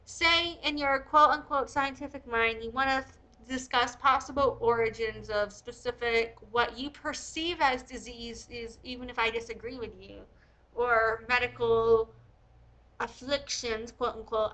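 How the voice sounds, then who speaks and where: A woman's voice: on the high side, bright, forceful tone, rough recording. A woman reading aloud and commenting as a host, in a blunt, opinionated, casual way. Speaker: host reading and critiquing a historical text.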